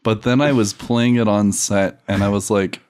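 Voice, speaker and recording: A man's voice: on the low side, steady tone, normal speed, darkish tone. A man guesting on a comedy podcast, chatting casually and jokingly, acting out his own thoughts as he tells things.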